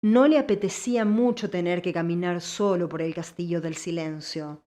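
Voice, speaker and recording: A woman's voice: lowish voice, normal speed, dark tone. A woman reading scripted audiobook narration as the storyteller.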